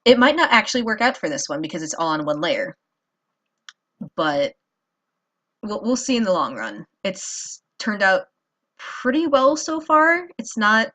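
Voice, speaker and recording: A woman's voice: mid-range voice, big pitch swings, measured pace, long pauses, pushed and bright. A tired woman hosting a casual drawing tutorial, rambling with many fillers and apologizing as she works. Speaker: art tutorial host.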